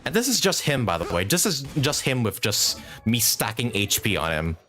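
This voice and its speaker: A man's voice: medium pitch, sing-song, normal speed, pushed and bright, faint music behind. A man doing casual live commentary as a game streamer, thinking aloud as he plays and swearing casually.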